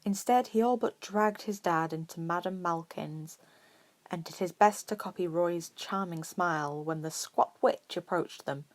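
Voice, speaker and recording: A woman's voice: lowish voice, measured pace. A woman reading scripted fiction aloud as a story narrator. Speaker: podfic narrator.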